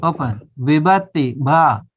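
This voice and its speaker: Monk teacher on a video call, slow and patient, slightly high voice, keeps checking understanding. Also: male voice, lively intonation. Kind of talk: online language class.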